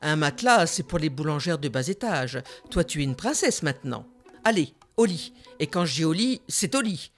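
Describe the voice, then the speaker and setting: A woman's voice: low-pitched, animated, moderate pace, soft background music. A woman voicing a pushy, scheming queen mother who talks in a bossy, theatrical way in a cartoon.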